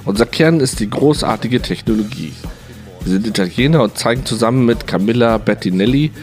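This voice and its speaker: A man's voice: mid-range voice, expressive, brisk, a little mellow. A man reading a scripted voice-over translation of an interview. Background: music.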